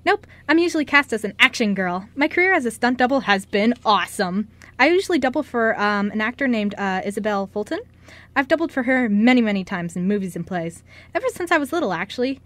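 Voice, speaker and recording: A woman's voice: on the high side, lively intonation, brisk, a bit bright, no room sound. A woman playing a bubbly, impulsive young stuntwoman who gushes and rambles, in an amateur radio play. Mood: rambling, eager. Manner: gushing, rambling.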